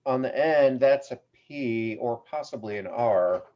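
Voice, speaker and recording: Muffled, lo-fi call audio carries a man acting a male forensic document analyst in a screenplay read. His pitch is medium, and he sounds focused on technical work. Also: measured pace, natural voice. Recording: dull, lo-fi video-call audio.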